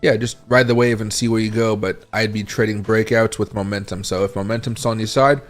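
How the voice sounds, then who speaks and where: Male livestream host, raspy and tired, somewhat low-pitched, casual and meandering chart talk. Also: fairly even intonation, fairly quick, faint music behind. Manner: rambling, thinks aloud while scrolling charts and reading chat.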